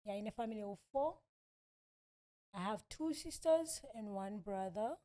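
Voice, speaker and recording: A woman's voice: mid-range voice, expressive, moderate pace, dark tone. A woman in her thirties with a Southern African accent, telling her own story as an interview guest, repeating phrases for emphasis. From born in South Africa, grew up in Malawi. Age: 30s. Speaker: podcast guest.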